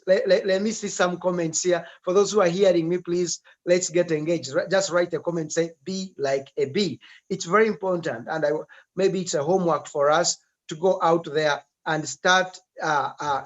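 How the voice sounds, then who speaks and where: A man hosting a live-streamed talk, urging viewers to comment in an animated way. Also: a little high. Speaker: host. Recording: dull lo-fi sound.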